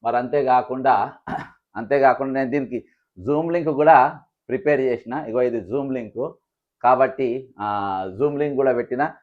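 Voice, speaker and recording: A man's voice: medium pitch, moderate pace, pauses often. A man with a Telangana Telugu accent giving political commentary to viewers as an activist host, critically listing grievances point by point. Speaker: political activist hosting his own channel. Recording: narrow, thin, phone-like sound.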